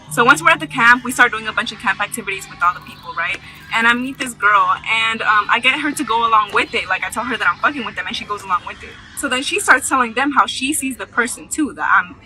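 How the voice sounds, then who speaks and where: A woman's voice: mid-range voice, sharp tone, low-quality recording. A young woman telling a casual story in a slangy, emphatic, conversational way. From United States.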